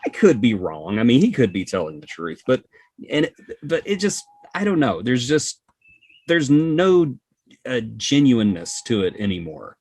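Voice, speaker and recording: A man's voice: mid-range voice, sing-song, moderate pace, a little mellow, slightly rough, dry recording. A man chatting casually as a podcast guest, with sarcastic, joking asides. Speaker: podcast guest, hobby content creator. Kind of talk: casual chat.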